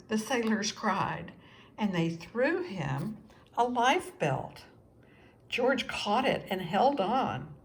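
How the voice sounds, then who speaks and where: A woman reading a children's story aloud as scripted narration, expressive and with deliberate pauses for young listeners. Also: fairly high pitch, a little hiss or hum. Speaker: storybook reader.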